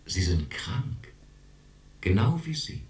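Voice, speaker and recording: A man's voice: deep voice, very animated, slow pace, darkish tone, heavily acted. A man playing a patronizing, coldly authoritarian institution doctor in a German radio drama. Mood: stern, condescending.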